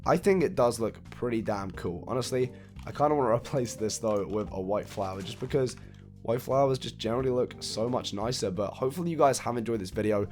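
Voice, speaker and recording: A man hoarse and raspy from a recent cold, casually walking viewers through a build step by step. He counts blocks out loud and laughs off his own slip-ups. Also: medium pitch, brisk. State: hoarse, croaky after a cold. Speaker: video game building tutorial host. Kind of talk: casual step-by-step gameplay walkthrough. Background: faint background music.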